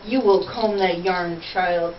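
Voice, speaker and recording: A woman's voice: on the low side, slow, echoey room, dull audio. A woman puts on a self-important father for a puppet show. He is stern and commanding, with pompous phrasing. The recording is distant and hissy.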